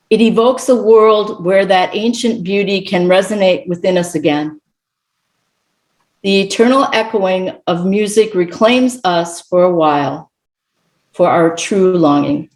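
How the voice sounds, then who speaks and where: A middle-aged woman giving a reflective sermon to a congregation as a guest speaker, with measured, contemplative phrasing. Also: mid-range voice, darkish tone. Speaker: guest speaker at a church service.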